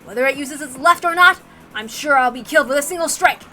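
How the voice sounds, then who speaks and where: A woman's voice: sits high, quick pace, bright, forceful tone, faint music behind. A woman voicing a brave, desperate man in a dramatic story reading, stammering and emphatic with melodramatic defiance. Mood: determined. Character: desperate, brave adult man Kraus, defiant gambler and ex-boxer.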